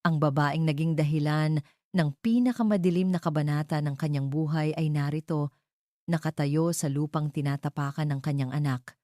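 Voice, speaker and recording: A woman narrating a romantic drama in a measured, sentimental storytelling manner. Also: low-pitched, fairly even intonation, warm tone, no echo, studio quality.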